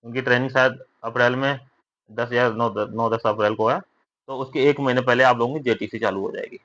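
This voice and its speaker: A man's voice: mid-range voice, fairly even intonation, fairly quick, fairly bright tone. A young man giving viewers an informal spoken update, conversational and often checking for agreement with tag questions. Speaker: vlogger giving recruitment updates. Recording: dull lo-fi recording.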